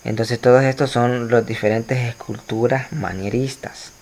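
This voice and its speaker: A man lectures his students through a slide presentation, his voice sitting at an ordinary middle pitch. He explains patiently, stopping for fillers and correcting himself as he goes, and addresses the class directly. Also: steady tone, measured pace, darkish tone, a little hiss or hum. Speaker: teacher.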